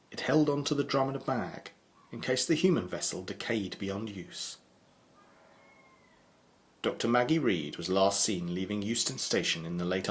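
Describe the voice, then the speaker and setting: A man playing the sober narrator of a horror audio drama, measured and matter-of-fact. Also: on the low side, lightly acted.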